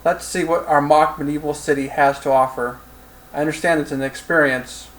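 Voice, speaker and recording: A man's voice: fairly high pitch, fairly even intonation, moderate pace, fairly bright tone, natural voice, rough recording. A man voicing a teasing, commanding female general and political officer with a Russian accent in an audiobook story. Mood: playful, assured. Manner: teasing, sensual.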